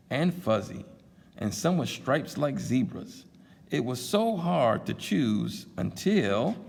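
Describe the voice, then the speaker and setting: Gravelly man reading a picture book aloud. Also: mid-range voice, darkish tone, big-room sound. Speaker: storytime reader. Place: library.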